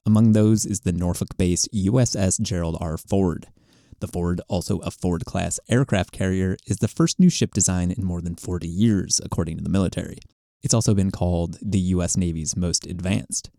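A man's voice: deep voice, fairly even intonation, moderate pace, creaky, dark tone, no echo, studio quality. A man with an American accent reading a scripted radio news report.

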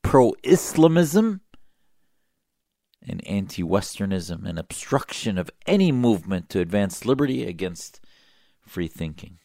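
Gravelly man delivering combative commentary. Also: lowish voice, sing-song, unhurried, warm tone, dry recording, studio quality. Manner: emphatic, sarcastic, combative. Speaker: political commentator. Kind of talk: opinionated talk-show commentary.

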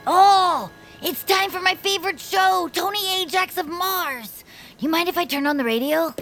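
A woman's voice: extremely high pitch, moderate pace, fairly bright tone, a little hiss or hum. A woman voicing an eager, oblivious, chatty best friend in a family audio drama, breezy and folksy. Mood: cheerfully oblivious.

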